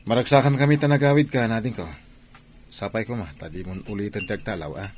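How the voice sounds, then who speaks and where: A man's voice: lowish voice, fairly even intonation, quick pace, close to an everyday voice. A man playing an earnest, protective elder brother in a Philippine radio drama. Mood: caring, earnest.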